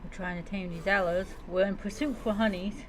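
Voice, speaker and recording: A woman's voice: mid-range voice, steady tone, fairly quick. A woman streaming a role-play game with casual, in-character commentary. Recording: clearly noisy background.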